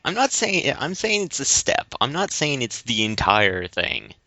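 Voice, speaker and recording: A man co-hosting a casual debate podcast, speaking in a halting, stammering way. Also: medium pitch, big pitch swings, sharp tone, no echo. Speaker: podcast co-host. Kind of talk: casual debate-style chat. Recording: slightly dull, lo-fi audio.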